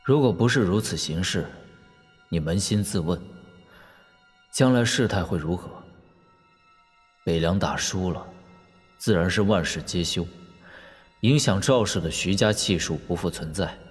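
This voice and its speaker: An actor playing a calm, shrewd young warlord prince in a wuxia audio drama, measured and wry. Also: male voice, deep voice. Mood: calm, resolute. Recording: long hall reverb, music underneath. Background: background music.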